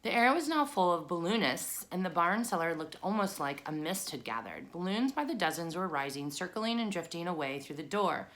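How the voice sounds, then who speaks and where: A woman's voice: fairly low pitch, sing-song, fairly quick, few pauses, a bit bright. A woman narrating a children's book as she reads it aloud. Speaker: storybook reader.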